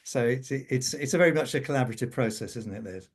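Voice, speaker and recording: A man's voice: mid-range voice, quick pace, husky. A man speaking as an interview guest, explaining his writing work informally, with hesitant, repeated phrases.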